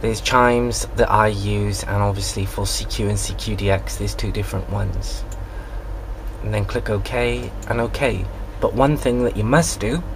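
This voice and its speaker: Low-voiced man giving a noisy tutorial. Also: unhurried. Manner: plain, instructional. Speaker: amateur radio hobbyist giving a software tutorial. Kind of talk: informal step-by-step tutorial narration. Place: small home radio room, indoors. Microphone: handheld camera or phone microphone. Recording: noisy handheld camera in radio room.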